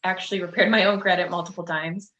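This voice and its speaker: Low-voiced woman in an echoey room. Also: steady tone, quick pace, fairly bright tone, lo-fi sound. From Chicago, United States. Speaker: fitness coach, interview guest. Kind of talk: casual interview chat. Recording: echoey room with faint background noise.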